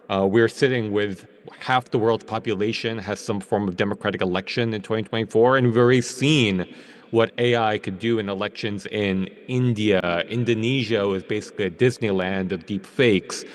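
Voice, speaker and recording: A man from New York speaking remotely to a conference, fluent and confident with a few fillers. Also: on the low side. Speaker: panel speaker.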